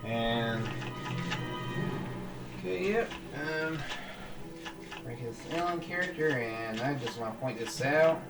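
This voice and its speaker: A man speaks slowly and haltingly while he plays, thinking aloud and restarting his sentences. His voice sits back from the mic in an echoey room. Game music plays clearly behind him. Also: medium pitch, lively intonation, distant mic. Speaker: gaming channel host. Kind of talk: casual let's-play commentary.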